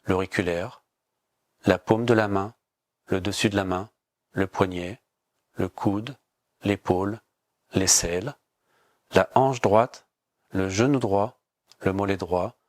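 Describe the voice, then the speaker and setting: Male meditation guide, lowish register, calm and measured instructional delivery, dry recording. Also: no echo. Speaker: yoga nidra teacher.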